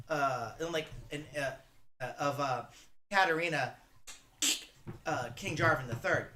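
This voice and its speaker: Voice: mid-range voice, sharp tone. A streamer giving casual reaction commentary, rambling and thinking aloud with a fan's enthusiasm.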